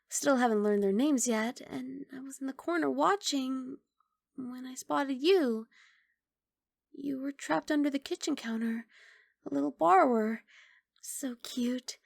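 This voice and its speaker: Female actor as giant dragon girl, young, high pitch, anxious, sweet, hesitant, coaxing ASMR roleplay, very dry close sound. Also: gravelly, dry recording. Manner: soft coaxing, hesitant, apologetic rambling. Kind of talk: ASMR roleplay, intimate one-sided dialogue.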